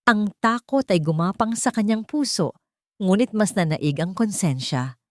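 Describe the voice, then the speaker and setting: A woman's voice: mid-range voice, lively intonation, a little slow, no echo, clean studio sound. A woman narrating a scripted story in a dramatic style.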